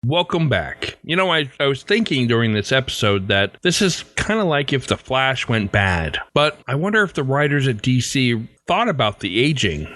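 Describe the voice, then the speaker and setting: A man's voice: medium pitch, very animated, moderate pace, a bit bright, no echo. A man hosting a podcast, talking casually through a prepared outro. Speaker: podcast host.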